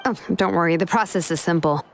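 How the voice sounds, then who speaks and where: An actress voicing a calm, dry and reassuring expert craftswoman in a video game, speaking in a measured way. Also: lowish voice, over loud music, natural voice.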